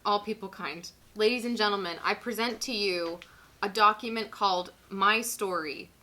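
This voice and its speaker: A woman in her twenties hosting a casual comedic vlog, giggly, animated and self-mocking, sometimes mock-solemn as she reads aloud. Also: mid-range voice, normal speed. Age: 20s. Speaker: vlog host reading own teenage writing. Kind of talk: casual comedic vlog chat with dramatic reading.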